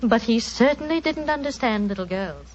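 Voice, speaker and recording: Woman playing an animated, wistful English storyteller. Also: on the high side, moderate pace, close to an everyday voice. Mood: nostalgic. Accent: British. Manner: expressive, storytelling. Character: wistful, warm Englishwoman recalling her childhood. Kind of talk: 1940s radio acting, first-person narration. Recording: muffled 1940s radio recording.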